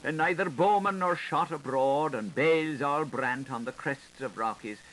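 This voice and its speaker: A man playing a knowing, sharp-tongued washerwoman, telling gossip in a lilting, dramatic audiobook style. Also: on the high side, moderate pace, lightly acted, poor audio. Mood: storytelling. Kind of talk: dramatic literary audiobook reading. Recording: hissy 1985 tape recording.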